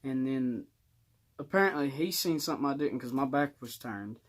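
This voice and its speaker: A man's voice: medium pitch, animated, normal speed. A young man telling a personal story in casual, colloquial speech with some swagger.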